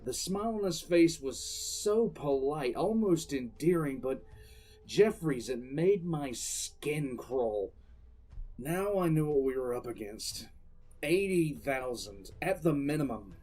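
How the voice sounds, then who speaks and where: A man's voice: on the high side, expressive, dark tone. Man playing a grim, defiant military commissar. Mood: grim disdain. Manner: grim, deliberate, storytelling. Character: defiant, contemptuous male military commissar telling his story. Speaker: narrator and lead character. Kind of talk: first-person dramatic audio drama narration.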